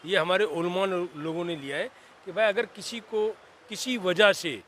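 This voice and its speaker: A man with a high, forceful, bright voice. He explains things at length as a community representative, with faint city noise behind him. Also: moderate pace. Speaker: community leader, social worker. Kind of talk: explanatory interview answer.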